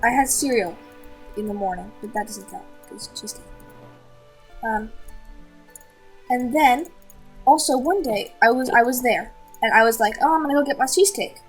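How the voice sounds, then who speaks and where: A woman co-hosting a story-time show, telling casual stories in an excitable, repetitive way and acting out quoted lines. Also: a little high, normal speed, long pauses, soft background music.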